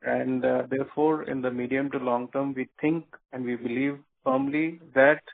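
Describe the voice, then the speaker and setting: A tinny, narrow phone line carries an Indian man's mid-pitched voice. He answers as a company executive on an earnings call, halting often and filling his pauses as he goes. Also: steady tone, gravelly. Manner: hesitant, halting delivery with frequent filled pauses. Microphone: telephone conference line.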